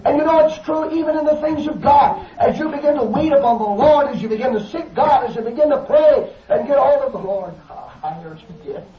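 Fervent high-voiced preacher man, echoey. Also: lively intonation, fairly quick, hardly any pauses. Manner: fervent, rising to shouts with frequent hallelujahs. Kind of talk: Pentecostal sermon. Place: church service. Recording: echoey dull lo-fi recording from a distance.

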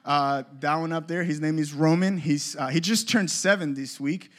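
A man from Colombia with a fairly high voice gives a conference workshop talk. He speaks casually, jokes with the room, and stumbles through fillers and restarts. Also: fairly even intonation, normal speed. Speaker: creative ministry leader speaking at a conference.

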